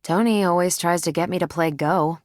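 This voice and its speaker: A woman's voice: lowish voice, fairly quick, darkish tone, dry recording, clean studio sound, natural voice. A woman playing a confident, wry, competitive superhero soldier in a video game, talking in casual, self-assured banter. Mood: conversational. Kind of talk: video game voice acting, conversational dialogue.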